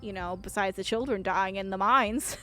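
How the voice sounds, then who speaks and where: A woman's voice: mid-range voice, normal speed, a bit bright. A woman giving playful, joking live commentary as a game streamer while reading a visual novel aloud. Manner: giggly, joking. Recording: game music behind voice. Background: game music.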